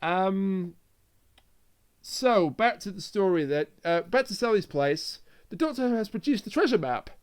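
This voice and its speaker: A man with a noticeably high-pitched voice talks as a podcast co-host. He recaps a story in a casual, conversational way, slipping into acted-out quotes as he retells it. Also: animated, measured pace, clean tone. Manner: acts out quoted lines while recapping. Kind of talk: casual episode recap and review.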